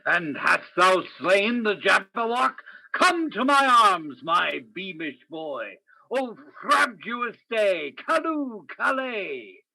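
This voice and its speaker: A grand old-time radio storyteller, acted by a man, recites verse with theatrical drama. The delivery is slow and swoops widely in pitch. The voice is rough and husky, moving between hush and roar. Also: very animated, full character voice, poor audio. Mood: jubilant. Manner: dramatic, swings from whispers to shouts.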